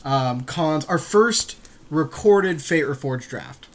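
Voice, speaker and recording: A man's voice: on the high side, lively intonation, slow. A man co-hosting a gaming podcast with casual banter, blunt and self-deprecating, with some swearing. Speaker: podcast co-host and card game player. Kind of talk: casual banter and game commentary.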